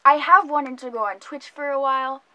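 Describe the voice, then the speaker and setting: A young adult woman chatting casually to camera from her bedroom, with soft laughs and fillers. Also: high voice, fairly even intonation, moderate pace, muffled recording. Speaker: vlogger. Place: small bedroom, indoors.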